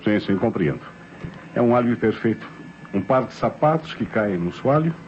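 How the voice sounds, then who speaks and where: A man's voice: fairly low pitch, expressive, moderate pace, darkish tone, lightly acted. A Brazilian man playing a sly, ironic police inspector in an old radio drama, courteous and teasing, repeating himself. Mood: politely probing. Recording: muffled vintage radio recording, faint noise.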